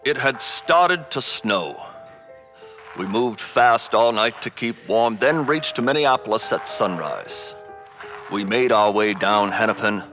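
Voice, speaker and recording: A man's voice: mid-range voice, close to an everyday voice. A man playing a radio newsman survivor, narrating solemnly in a comedic old-time radio drama. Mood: ominous. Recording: dull radio sound under music.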